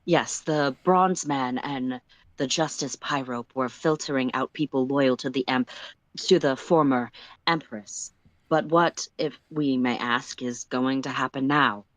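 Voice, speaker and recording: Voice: mid-range voice, measured pace, fairly bright tone, no room sound, natural voice, low-quality recording. Anxious, courtly alien subject, voiced by a story reader, hesitant and self-correcting, dry recording. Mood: cautious. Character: nervous, deferential blue-blooded alien man. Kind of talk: character dialogue in a read-aloud story.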